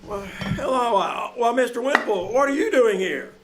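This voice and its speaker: Man playing an excitable, stammering, high-pitched radio newspaperman. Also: moderate pace, very noisy. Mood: puzzled. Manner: stammering, easily flustered. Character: Mr. Anderson, excitable, flustered newspaperman. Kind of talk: 1940s radio acting. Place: live community theater stage performance.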